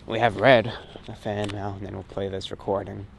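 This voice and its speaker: A man's voice: on the low side, little pitch movement, normal speed. A man hosting his own show, talking in a casual, reflective, rambling monologue of short phrases, recorded outdoors on a walk. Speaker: host of a radio and online show. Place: outdoors, recorded while walking. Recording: clear ambient noise behind the voice.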